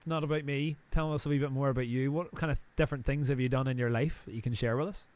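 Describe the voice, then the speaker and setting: A man's voice: mid-range voice, fairly even intonation, quick pace, darkish tone. Northern Irish male host, thirties, casual and jokey, wanders off on tangents. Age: 30s. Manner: chatty, joking, rambling asides. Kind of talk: casual live-stream interview chat.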